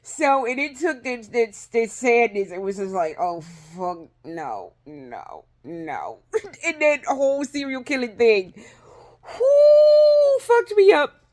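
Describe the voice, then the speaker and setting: A woman's voice: on the high side, sing-song, slow, darkish tone. A woman vlogging in a casual, rambling way, swearing freely, laughing often and restarting her sentences. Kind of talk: casual rambling vlog chat.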